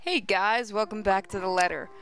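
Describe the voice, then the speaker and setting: A woman's voice: mid-range voice, moderate pace. A woman gaming host reading visual novel narration aloud, stumbling over the odd word as she goes. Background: prominent music.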